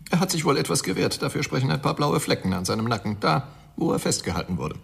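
A man's voice: on the high side, big pitch swings, brisk, gravelly, exaggerated voice. A man playing a sardonic, unfazed forensic pathologist in a German radio crime drama, wry, theatrical and matter-of-fact.

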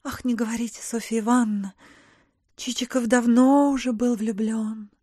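A woman's voice: a little high, fairly even intonation, moderate pace, warm tone, no echo, clean studio sound, lightly acted. An actress playing a gushing, romantic provincial society lady who gossips sentimentally in an audio drama. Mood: eager gossiping. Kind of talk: classic literary audio drama acting.

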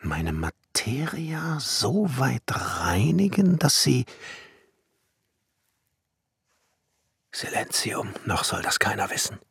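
An actor playing a solemn, visionary glassmaker and alchemist in a radio play, musing in literary German and often dropping into hushed tones. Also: male voice, mid-range voice, sing-song, takes it slowly, creaky, slightly rough, exaggerated voice. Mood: secretive. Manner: musing, declamatory, drops into hushed whispers. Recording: studio radio play with music underneath.

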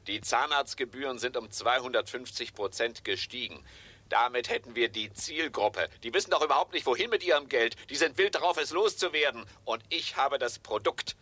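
An actor playing a slick, scheming promoter in a 1970s German radio play, coaxing and pitching with pushy sales patter. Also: male voice, normal speed, husky, dry recording. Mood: excited pitching. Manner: persuasive sales patter, swinging from coaxing to excited.

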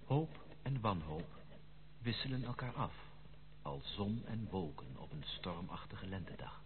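An actor playing a lovestruck gentleman who narrates his letter to a friend in a formal, measured, literary way in a radio play. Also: deep voice, animated. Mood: yearning, earnest. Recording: noisy, tinny, band-limited old radio recording.

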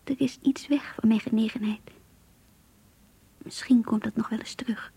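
An actress playing an anguished, lovesick young woman confiding in her diary in a radio play, hushed and intimate. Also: a little high, fairly even intonation, normal speed, dry recording. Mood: anguished, pleading. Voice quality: breathy, hushed, whispery. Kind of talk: Dutch radio play monologue.

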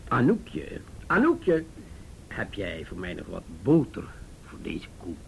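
A man's voice: low voice, huge pitch swings, slow, vocal fry, darkish tone, heavily acted. An actor playing an older, jovial and patronising male police chief in a radio drama, hesitant and avuncular. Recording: radio drama with faint background noise.

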